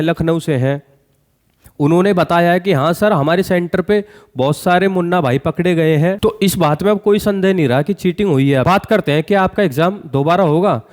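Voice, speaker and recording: A man's voice: high-pitched, a little mellow. A man giving earnest, pointed commentary straight to viewers as an exam-news YouTuber, often posing rhetorical questions. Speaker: exam-news YouTuber addressing job aspirants.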